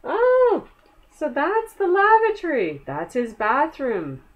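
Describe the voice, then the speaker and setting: A woman's voice: high-pitched, narrow, tinny audio. A woman reading a children's story aloud, expressively, with chatty asides and questions to the young listener. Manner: expressive, pausing to explain and ask the listener questions.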